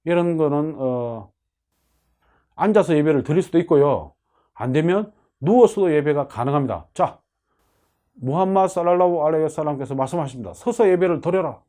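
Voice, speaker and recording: A man lectures on religious practice in a soft-toned, mellow voice that sits a little high. His intonation swings widely as he explains. He teaches in a didactic way, often asking a question and then answering it himself.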